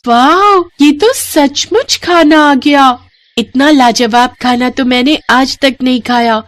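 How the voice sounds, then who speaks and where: A sweet village girl put on by a woman for a moral tale. Her voice is lifted high, with eager, wide-eyed excitement. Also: takes it slowly, no room sound. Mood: excited. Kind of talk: Hindi moral storybook acting.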